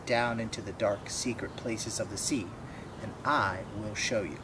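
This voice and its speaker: A man voicing a calm, wise fish in a children's storybook, read in a measured way. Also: lowish voice, background noise, lightly acted.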